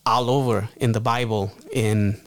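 Soft-spoken male radio host. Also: fairly low pitch, steady tone, measured pace, no echo. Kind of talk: casual radio talk.

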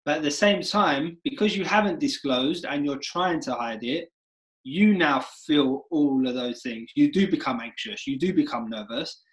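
A man hosting an interview podcast, talking earnestly and with emphasis, speeding up and slowing down within phrases. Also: on the high side, low-quality recording. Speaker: podcast host. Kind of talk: interview chat.

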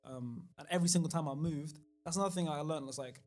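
Rambling young man, slightly high. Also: fast talker, darkish tone. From London, of Somali family. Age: 20s. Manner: rambling, hesitant, lots of fillers and restarts. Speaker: podcast guest. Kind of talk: casual chat.

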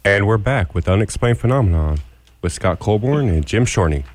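A man co-hosting a radio show, announcing and bantering in a relaxed, folksy way. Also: lowish voice, moderate pace.

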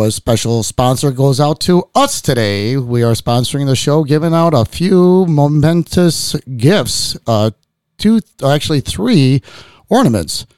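A man's voice: a little high, a little mellow, clean tone, no room sound. A man from Chicago hosting a casual podcast in a studio, talking in a rambling way with lots of restarts and fillers. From Chicago, United States. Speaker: podcast host.

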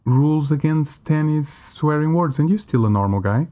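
A man's voice: on the high side, animated, normal speed, warm tone. A man co-hosting a casual podcast, giving his opinions emphatically but agreeably, with a philosophical turn. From Portugal or another country outside Britain. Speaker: podcast co-host.

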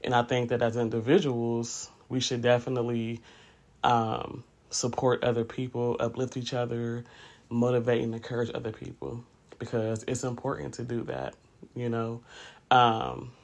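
A man's voice: mid-range voice, monotone, moderate pace. A man in his late thirties hosting a podcast, talking candidly in a casual, reflective monologue with conversational asides. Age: late 30s. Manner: candid, conversational, often says "you know". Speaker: podcast host.

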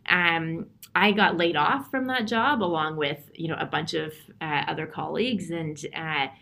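A woman in her thirties, telling her story in a relaxed, reflective interview with many fillers. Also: low voice, moderate pace, gravelly. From Canada. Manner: thoughtful, frequent fillers and restarts. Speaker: interview guest.

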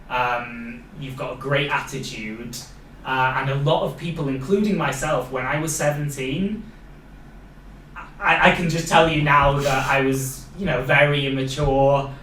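A man's voice: mid-range voice, fairly bright tone. A young Welsh man hosts a casual interview, speaking in an encouraging, reflective way with plenty of "like" and "you know". He sits some distance from the mic in a roomy space, with a faint hum of noise behind him. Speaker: podcast host interviewing a young athlete.

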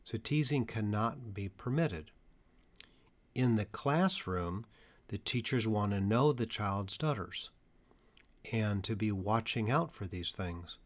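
A man with a fairly low voice explains his points slowly and carefully to parents and teachers. He speaks as a therapist, leaning on deliberate pauses to stress key ideas. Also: animated. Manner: measured, deliberate delivery with emphatic pauses. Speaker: stuttering therapist. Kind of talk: educational talk to parents and teachers.

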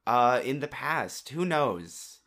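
A man co-hosting a podcast in relaxed, thoughtful chat, with dry humor and lots of "like". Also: mid-range voice, slow, creaky. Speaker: podcast co-host.

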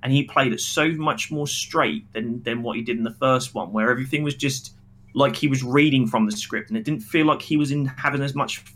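Guy on a movie podcast, bright voice, average pitch, casual and critical, lots of opinions. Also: brisk. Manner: critical, acts out imagined quotes. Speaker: podcast co-host reviewing a film. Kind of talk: casual opinionated film discussion.

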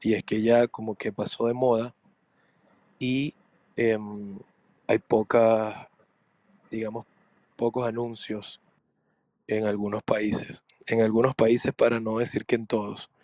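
A man with a voice hoarse from laryngitis, explaining things in a measured, hesitant way on a podcast. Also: lowish voice, little pitch movement, a little mellow, dry recording, poor audio. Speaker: podcast guest.

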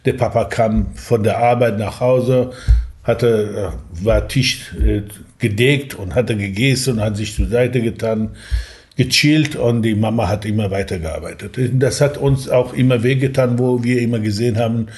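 An older man from Kurdistan in Iran, telling his life story as a guest in a halting, plain-spoken way. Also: mid-range voice, a little mellow. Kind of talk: casual conversation. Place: café terrace in Portugal.

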